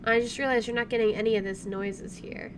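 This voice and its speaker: A woman with a rather high voice narrates her own video game playthrough. She is casual, chatty and playfully wry. There is clear room noise from an air conditioner behind her. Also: steady tone, fairly quick. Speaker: gaming video host. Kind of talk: casual playthrough commentary, reading game text aloud. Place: indoors at home, air conditioner running.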